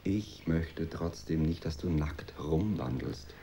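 An actor in a German radio drama playing a weary, prim husband, nagging in a measured, disapproving way with dry sarcasm. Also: male voice, a bass voice, creaky, mellow, a little hiss or hum, exaggerated voice.

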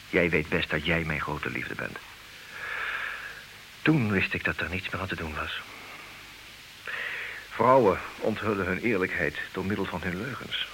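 An actor playing a talkative betrayed husband in a Dutch comic radio play, theatrical and wry, wavering between agitation and resignation. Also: male voice, sits low, a bit bright, heavily acted, rough recording. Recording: hissy old radio play recording.

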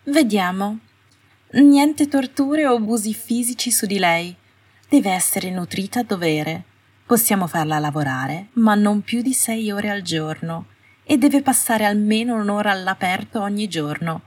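Lady doing a snooty shrill young witch for an audiobook, brittle and sarcastic, kinda roomy sound. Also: a little slow, darkish tone, slightly rough. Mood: manic, sarcastic. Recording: roomy, slightly distant home recording.

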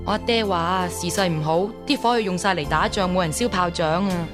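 A woman's voice: fairly low pitch, a little fast, over music, close to an everyday voice. A woman playing a forthright, curious young merchant's daughter in a drama, speaking in a direct, questioning way. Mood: softened, earnest. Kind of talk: broadcast drama acting.

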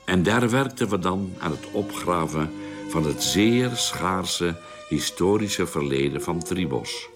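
Very deep-voiced calm space expedition doctor, radio play, lively intonation, takes his time. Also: slow pace, music in the background, full character voice. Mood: measured, reflective. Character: calm male doctor, space expedition narrator and amateur archaeologist. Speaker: narrator and actor. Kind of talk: radio drama narration and dialogue.

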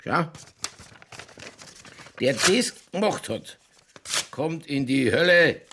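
A man playing an older, folksy Bavarian police chief in a radio crime drama, sly and skeptical, with a colloquial, dry, placating manner and a gravelly voice. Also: fairly high pitch, lively intonation, drawn-out words, background noise, full character voice.

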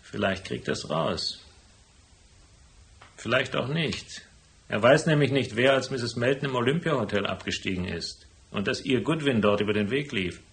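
An actor playing a shrewd, unflappable private detective in a radio crime drama, speaking in a dry, deliberate way. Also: male voice, medium pitch, expressive, creaky, fairly bright tone. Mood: calm, explaining. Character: cool, laconic hardboiled male private detective, shrewd and unflappable. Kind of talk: German radio crime drama acting with first-person narration.

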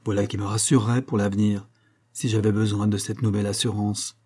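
A man's voice: lowish voice, level tone, a little fast, mellow, breathy edge. A man voicing a cruel, mocking husband in a dramatic audiobook, sneering and coldly domineering. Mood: smug irony. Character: cruel, mocking estranged husband, menacing and smug.

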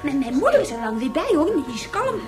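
High-pitched, cheeky, chatty child. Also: female voice, big pitch swings, a little fast, over music. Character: chatty, cheeky, know-it-all young child. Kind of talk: radio drama acting.

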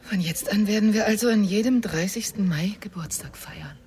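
An actress playing a decisive, expressive grandmother-to-be in a German radio drama. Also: medium pitch, moderate pace, slightly rough. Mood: brisk. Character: brisk grandmother-to-be, quietly delighted.

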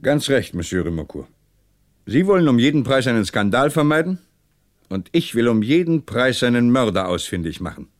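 A man acting a dogged, composed French police commissioner in a German radio play, brisk, commanding and dryly ironic. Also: mid-range voice, big pitch swings, lightly acted. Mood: dry, insistent.

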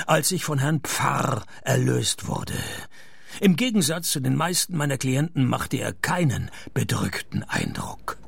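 Radio play private eye: male, Swiss accent, very creaky husky voice, laconic and sardonic. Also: a little high, fairly bright tone, dry recording, studio quality, heavily acted. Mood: bored, dry. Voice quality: gravelly, husky. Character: dry, world-weary male private detective. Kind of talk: radio play dialogue and first-person narration.

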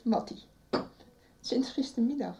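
A woman's voice: on the high side, lively intonation, unhurried, gravelly, slightly rough, no echo, full character voice. An actress playing a middle-aged mother in a radio soap, anxious and tearful, faltering as she worries about her missing daughter. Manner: anxious, faltering, softly declining help. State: distressed, tearful.

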